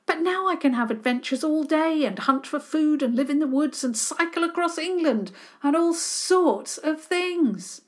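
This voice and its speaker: A woman reading aloud, voicing an excitable, dramatic boy who hates his lessons, with an eager, high and breathless delivery. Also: normal speed, lightly acted. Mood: excited.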